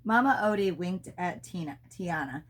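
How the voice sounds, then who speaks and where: A woman's voice: on the low side, expressive, moderate pace, a little hiss or hum. A woman reading a children's storybook aloud expressively, as a mother does with her child.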